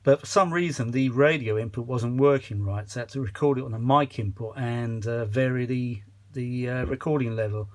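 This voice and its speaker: A man with a mid-pitched voice talks casually as the presenter of his own hobby video. He is chatty and self-deprecating, rambling along and often hunting for the right word. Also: brisk, few pauses. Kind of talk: rambling casual demonstration vlog. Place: small cluttered kitchen used as a workshop.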